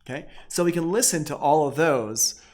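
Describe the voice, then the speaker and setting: A man's voice: on the high side, normal speed, darkish tone. A man teaching music production in a casual, informal tutorial, thinking aloud as he works. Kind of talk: casual screen-share software tutorial.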